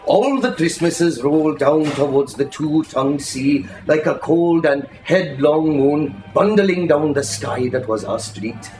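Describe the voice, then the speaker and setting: Male literary reader: expressive, theatrical, savours phrases; roomy live recording with faint noise behind. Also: fairly high pitch, a little slow, hardly any pauses. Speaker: reader, storyteller. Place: live reading in an indoor shop. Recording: echoey room with faint background noise.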